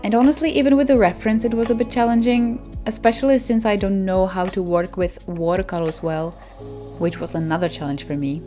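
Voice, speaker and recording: A woman's voice: medium pitch, fairly even intonation, brisk, warm tone, soft background music. A young woman in her twenties with a Czech accent, a native Czech speaker, narrating her art vlog in a chatty, self-deprecating way with frequent laughs. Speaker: art channel host.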